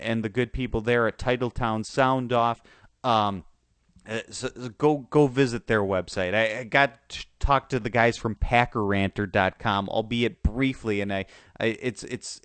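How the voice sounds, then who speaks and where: A man hosting a casual football talk show, his voice sore and a bit hoarse, stumbling through restarts and fillers. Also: mid-range voice, dry recording. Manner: halting, many restarts and fillers. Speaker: sports podcast host.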